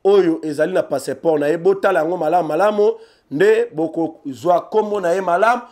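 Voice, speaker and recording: A man's voice: high-pitched, fairly quick, darkish tone. A man with a Congolese accent giving emphatic political commentary, repeating names and speaking straight to viewers. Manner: emphatic, repetitive, addresses viewers directly. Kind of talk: animated political commentary in French and Lingala.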